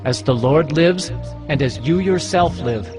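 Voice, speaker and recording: Casting: devoted, stubborn disciple, slightly high voice with lively inflection, firm, audio drama with music. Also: male voice, unhurried. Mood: resolute. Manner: earnest, firm. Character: loyal, stubborn disciple of a prophet. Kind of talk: dramatized scripture audio acting.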